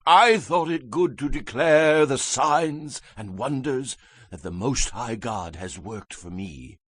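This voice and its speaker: Raspy, imperious ancient king, swooping theatrically. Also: mid-range voice, animated, slow, a bit bright, no room sound, full character voice. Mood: solemn, grand. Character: proud, wrathful ancient Babylonian king, commanding and grandiose. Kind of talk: dramatized scripture audio drama.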